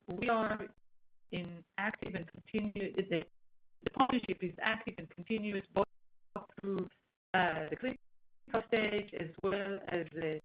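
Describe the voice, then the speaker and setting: Halting woman on a dull call line. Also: fairly low pitch. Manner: halting, searching for words. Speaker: company executive. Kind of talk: earnings call answers, explanatory business talk. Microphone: conference call line.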